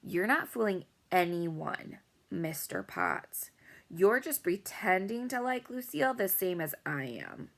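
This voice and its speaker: A woman voicing a bossy, pushy little girl in a storybook read-aloud, loud and eager. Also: fairly low pitch, wildly swooping pitch, slow pace, gravelly, exaggerated voice. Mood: sly.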